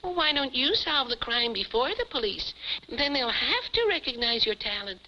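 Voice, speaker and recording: Perky, piercingly bright little alien puppet. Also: female voice, on the high side, lively intonation, normal speed. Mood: encouraging. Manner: quaint exclamations, eager and earnest. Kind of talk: 1950s television puppet show acting. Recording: muffled, hissy 1950s television soundtrack.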